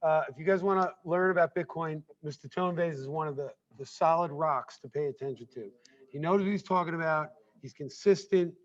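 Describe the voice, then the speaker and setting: A man talking casually as a guest, friendly and effusive, his voice worn from talking. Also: fairly high pitch. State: losing his voice. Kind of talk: casual conversation. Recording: dull, muffled livestream audio.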